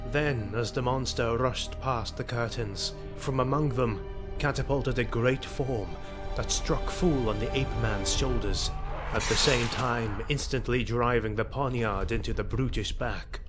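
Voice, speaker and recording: Casting: male story narrator, mid-pitched, grave and deliberate, dramatic fantasy delivery over a clear music bed.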